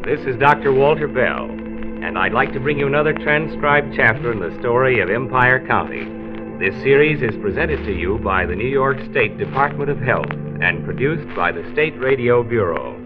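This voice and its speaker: An actor with an American accent playing a measured county health doctor, narrating a late 1940s radio drama. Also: male voice, medium pitch, expressive, close to an everyday voice. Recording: dull old radio transcription, music behind.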